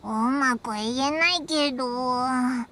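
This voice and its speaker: An actress playing a tiny, blunt, excitable fairy companion in an anime game, squeaky and childlike with boyish phrasing. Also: female voice, slow, a little hiss or hum. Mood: puzzled. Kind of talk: Japanese anime game voice acting. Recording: music behind the voice.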